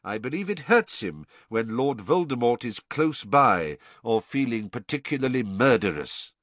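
A calm, commanding elderly wizard who states each point in a cool, measured, unbending way, as a male narrator voices him. The voice sits a little raised and lively in pitch. It is recorded close and dry for a storybook. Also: dry recording. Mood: firm.

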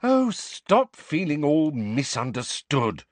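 A man voicing a brisk, bossy, clever teenage schoolgirl in an audiobook, airy and impatient in manner. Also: medium pitch, sing-song, breathy edge, no room sound. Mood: impatient, sharp.

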